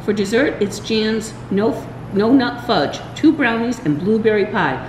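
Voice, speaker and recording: An older woman reading a children's storybook aloud, expressive and deliberate, with small stumbles. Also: fairly high pitch. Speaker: library story time reader. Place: indoors in a large library room. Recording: roomy echo, faint background room noise.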